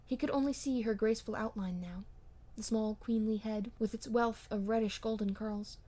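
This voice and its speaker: Amateur audiobook narrator, woman, fairly high-pitched, scripted storytelling of period fiction. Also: steady tone, normal speed, mellow.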